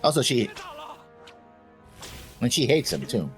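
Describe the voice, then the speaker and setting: A man's voice: mid-range voice, very animated, takes it slowly, faint music behind. A man chatting casually as the co-host of a reaction video.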